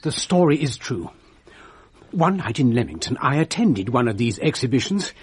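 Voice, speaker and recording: A man playing a grave gentleman witness in a radio drama, recalling events deliberately. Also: mid-range voice, big pitch swings, slight background noise, lightly acted. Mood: grave recollection.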